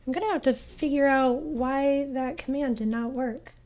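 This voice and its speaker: A young woman streaming a game, chatting in a soft, hesitant way with lots of fillers. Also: high-pitched, normal speed. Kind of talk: casual gaming stream chat.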